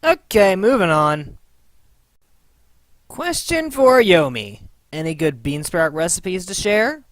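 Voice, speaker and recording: A man presents a question segment in a very animated voice that swings widely in pitch. He is playful and theatrical, and the recording is dry. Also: slow pace, no room sound. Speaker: host of a fan Q and A.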